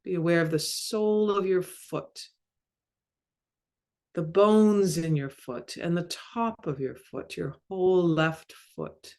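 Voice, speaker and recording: A woman leading a guided meditation as a mindfulness coach, speaking calmly and measuredly in short phrases with long pauses. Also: on the low side. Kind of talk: guided body scan meditation.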